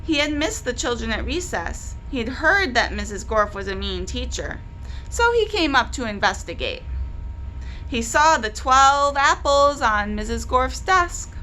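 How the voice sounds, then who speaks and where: High, animated woman reading to children. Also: a little slow, a little hiss or hum, rough recording. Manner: expressive, addressing young listeners. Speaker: library storyteller. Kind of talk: read-aloud children's story narration.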